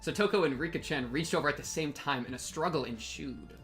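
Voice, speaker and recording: A man's voice: a little high, fairly quick, fairly bright tone. A young man giving casual, slangy, goofy commentary on a game and reading it aloud. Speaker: game streamer. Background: game music.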